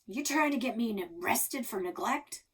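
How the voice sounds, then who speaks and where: A grumpy old grandpa, as a woman reader puts him on from a children's story. He sounds gruff and exasperated, with a husky edge to his scolding. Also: fairly high pitch, lively intonation, normal speed, a bit bright, voice pushed up. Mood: stern, grumpy.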